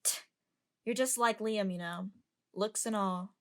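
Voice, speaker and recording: A woman's voice: mid-range voice, measured pace, fairly bright tone, natural voice. A woman in an amateur audio drama playing a curious, outspoken young passenger who chats and lectures. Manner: chatty, lecturing.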